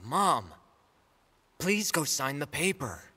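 A man playing a worried young adult son in a stage drama, pleading under pressure in a husky voice. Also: a little high, big pitch swings, slow, pushed and bright, exaggerated voice. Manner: pleading, strained. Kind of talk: stage drama acting, English dub. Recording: reverberant stage hall.

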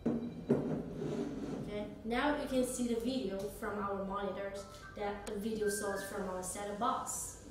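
A young woman demonstrates a product step by step, speaking in halting phrasing. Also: mid-range voice, steady tone, over music. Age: young adult. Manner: halting non-native English phrasing. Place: small indoor office or meeting room. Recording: echoey room, distant mic, noisy background.

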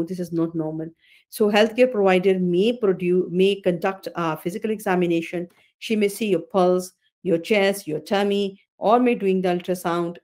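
A woman's voice: lowish voice, a little mellow. A woman in her fifties, an obstetrician hosting a webinar on a video call, explaining slides, often repeating phrases and correcting herself with fillers. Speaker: OB-GYN consultant hosting a webinar. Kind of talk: webinar lecture over slides. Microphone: computer mic on a video call.

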